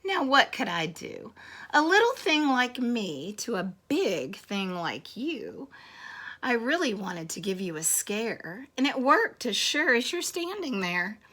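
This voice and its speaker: A woman's voice: fairly high pitch, sing-song, slow pace, a bit bright, full character voice. A woman voicing a smug, cheeky little mouse, teasing and emphatic, in a children's storybook reading. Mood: cheeky, pleased. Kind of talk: children's storybook read-aloud.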